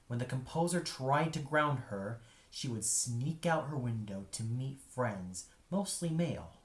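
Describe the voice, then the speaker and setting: A man narrating a dramatic scripted story in a solemn, deliberate, measured way. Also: mid-range voice. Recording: echoey room, music underneath. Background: music.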